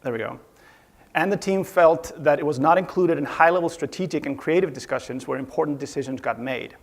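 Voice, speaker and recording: A man from Iceland giving a measured, slightly formal conference talk about leading a design team. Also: on the high side, creaky. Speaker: conference speaker, studio UI/UX director. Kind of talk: presentation talk. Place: conference stage.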